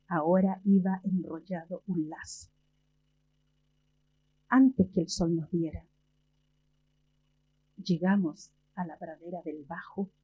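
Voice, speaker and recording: A woman's voice, very dark and soft-edged, a little husky, reads her poems slowly and deliberately with long pauses between phrases. The recording is muffled and lo-fi, like a small library event taped from the room. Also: medium pitch. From Chile. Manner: slow, measured recital with long pauses. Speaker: poet. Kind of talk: slow poetry reading and talk.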